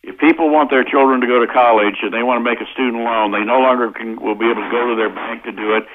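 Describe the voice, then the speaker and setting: An American man explaining his points methodically as an interview guest, with hesitant pauses, over a tinny phone line. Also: mid-range voice, steady tone, crowd noise. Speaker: interview guest, constitutional lawyer. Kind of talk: explanatory radio interview answers.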